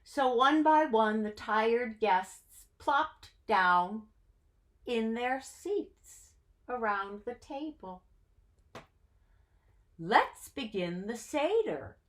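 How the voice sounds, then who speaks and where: A woman reading a children's story aloud in an expressive, dramatic way, drawing out her phrases. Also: a little high. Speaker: story reader.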